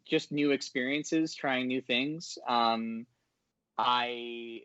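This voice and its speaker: A man's voice: mid-range voice, drawn-out words, fairly bright tone, clear, resonant tone, no room sound, dull audio. A man talking casually as a podcast guest, hesitant and polite in his phrasing. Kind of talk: casual interview talk. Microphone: online call.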